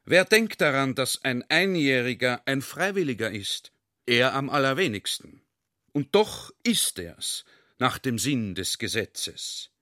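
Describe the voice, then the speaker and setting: A man's voice: medium pitch, sing-song, unhurried, bright, forceful tone, no room sound, clean studio sound. An actor playing an officer who expounds pompously on love of fatherland in a literary radio drama. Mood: earnest, expounding. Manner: rhetorical, expounding.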